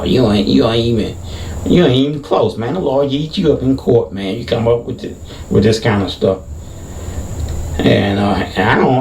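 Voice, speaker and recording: A middle-aged man, a former deputy, with a very deep, heavy voice, giving casual video commentary in halting speech full of fillers and restarts. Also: a little mellow, echoey room, distant mic, slight background noise, low-quality recording. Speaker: former deputy, channel host.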